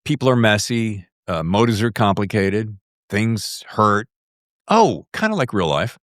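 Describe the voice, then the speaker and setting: Man, podcast interviewer, low-pitched voice, casual and musing, close dry sound. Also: sing-song, a little slow, a bit bright, no echo. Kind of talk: casual book interview chat.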